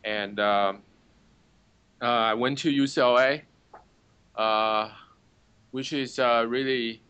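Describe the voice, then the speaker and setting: A man, speaking haltingly and searching for words, with a dry sense of humor. His voice is bright and forceful with a smooth, crisp tone. The recording is dry and close. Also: medium pitch, steady tone, no echo, dull audio. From Taiwan. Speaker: startup founder. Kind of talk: casual interview answers.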